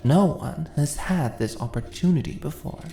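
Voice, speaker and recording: A woman's voice: deep voice, animated, moderate pace, gravelly, warm tone. A woman playing a sly, commanding immortal dungeon mistress in a fantasy ASMR roleplay, teasing and condescending in a theatrically persuasive way. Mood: impassioned, commanding. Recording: echoey room with faint noise.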